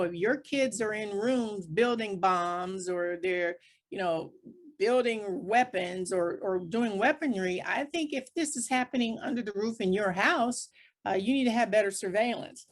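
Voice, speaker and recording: A woman's voice: mid-range voice, moderate pace. A woman speaking as a panelist, explaining things point by point in plain, conversational terms. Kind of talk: explanatory panel discussion talk.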